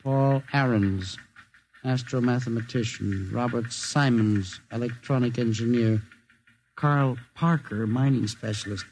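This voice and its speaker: An American actor playing a mild-mannered, fussy records clerk in vintage radio drama, polite, earnest and hesitant, with flustered stammers. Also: male voice, medium pitch, a little slow, a little mellow, slight background noise, close to an everyday voice, low-quality recording. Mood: puzzled.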